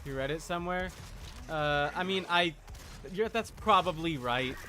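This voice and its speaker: A man's voice: fairly high pitch, measured pace, bright, forceful tone, a little hiss or hum. A man giving casual, wry live commentary as he plays a video game, often muttering to himself. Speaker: game streamer.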